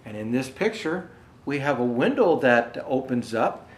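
An older man reading a children's picture book aloud, pausing to explain things gently to young viewers. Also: mid-range voice, big pitch swings, a little hiss or hum. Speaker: storyteller dressed as Santa Claus. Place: indoor room with Christmas decor. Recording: roomy indoor echo.

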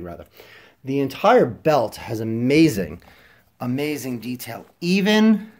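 Man with a cold-stuffed voice, chatty home review vlogger, filmed on a phone, easygoing with frequent fillers. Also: mid-range voice. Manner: chatty, many ums and restarts, drops softer for close-up details. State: congested from a head cold. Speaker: fashion vlogger. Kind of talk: casual product review. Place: indoors at home, filming over a carpeted floor.

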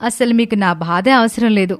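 A woman's voice: fairly high pitch, normal speed, no room sound, studio quality, close to an everyday voice. A woman playing a jealous, scheming young wife in a family story, complaining and sarcastic. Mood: bitter, sulky. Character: jealous, bitter young married woman, scheming sister-in-law.

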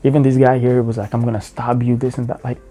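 A man's voice: medium pitch, level tone, fast talker. A young man hosting a reaction video, talking in a laid-back, mocking way with lots of slang, at a webcam. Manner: laid-back, mocking, says bro often. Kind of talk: casual commentary.

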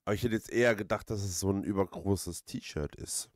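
A man giving casual gaming commentary, halting and repeating words as he rambles. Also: low voice, no room sound. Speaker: let's play host.

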